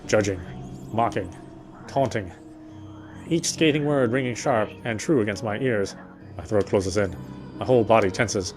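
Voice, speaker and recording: A man streaming a game, reading its text aloud as measured, dramatic narration with occasional stumbles. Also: lowish voice. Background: game music.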